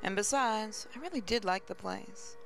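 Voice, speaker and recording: A woman's voice: mid-range voice, moderate pace, vocal fry, fairly bright tone. A woman hosting a gaming channel, reading visual novel narration aloud, with faint music behind her.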